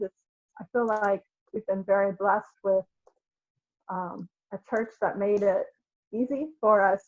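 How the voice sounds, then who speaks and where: A woman in her forties co-hosting a podcast, earnest and hesitant with many pauses. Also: mid-range voice. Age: 40s. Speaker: podcast co-host. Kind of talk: casual podcast chat. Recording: tinny phone-like line.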